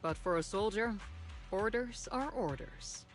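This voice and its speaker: A woman's voice: mid-range voice, animated, faint music behind, lightly acted. Woman acting formal, dutiful military officer. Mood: measured. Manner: measured, formal, apologetic. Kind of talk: video game voice acting.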